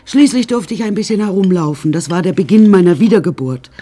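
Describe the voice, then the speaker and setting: An actress playing an older, sardonic and imperious confined woman who narrates her macabre life in a wry, drawn-out, confiding way in a radio drama. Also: on the low side, animated, background noise, close to an everyday voice. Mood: wry recounting.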